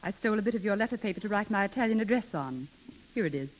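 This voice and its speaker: An actress playing a gracious, gently knowing woman of forty, poised and well-mannered, in 1930s radio drama style. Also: medium pitch, expressive, rapid-fire, close to an everyday voice. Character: gracious, discerning forty-year-old lady, gently knowing. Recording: dull 1930s radio broadcast recording.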